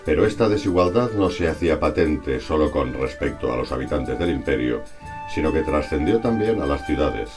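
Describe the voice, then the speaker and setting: A man from reads a scripted historical narration in a formal, measured, bookish manner. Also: rumbling low.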